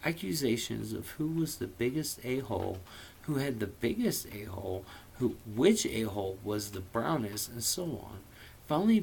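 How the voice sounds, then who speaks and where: A man's voice: medium pitch, creaky, a little hiss or hum, rough recording. A man reading a story aloud informally, with a theatrical, irreverent manner and profane casual asides. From US Midwest. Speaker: amateur audiobook reader.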